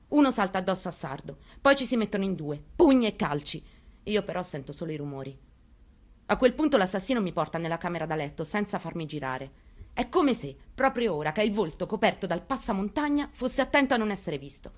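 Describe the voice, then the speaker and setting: A woman's voice: medium pitch, natural voice. A woman performing a dramatic monologue reading as a haunted, plainspoken mother recounting her story. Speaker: actress in a staged reading.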